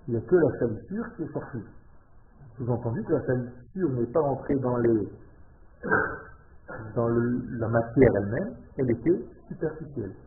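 A man from Israel, speaking French as a learned language and giving a passionate religious lesson to a small group, shifting from quiet talk to loud exclamations. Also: medium pitch. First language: Hebrew. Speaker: religious teacher. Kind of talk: Torah lesson to a live group. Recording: tinny phone-like echoey room.

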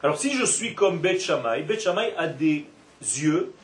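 A man giving an animated Torah class with playful asides, recorded from a distance in a roomy classroom. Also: fairly high pitch, unhurried. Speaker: Torah teacher. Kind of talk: religious class lecture. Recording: echoey room, from far off.

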